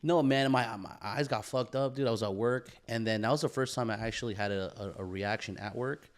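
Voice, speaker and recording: A man's voice: lowish voice, a little fast, a little hiss or hum. A young man chatting casually on a podcast, rambling and swearing, with plenty of bro and dude. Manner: slangy, profane, rambling, says bro and dude often. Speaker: podcast co-host.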